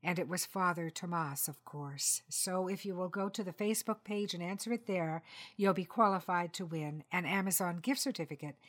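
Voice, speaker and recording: A woman presenting and interviewing as a podcast host, speaking in a measured, articulate and polite way. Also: fairly low pitch.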